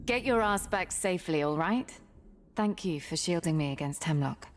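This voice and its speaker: A woman's voice: lowish voice, animated, normal speed, close to an everyday voice. A woman voicing a resolute, protective warrior heroine in a video game, with a firm, earnest, caring manner. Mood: firm concern. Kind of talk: video game cutscene acting.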